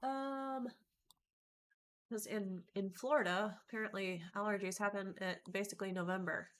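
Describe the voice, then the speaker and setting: A woman with a stuffy nose from allergies, giving a casual trading lesson in a chatty, informal way with frequent ums and throat clearing. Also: mid-range voice, fairly even intonation, normal speed. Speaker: stock trading educator. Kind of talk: casual chart-analysis lesson.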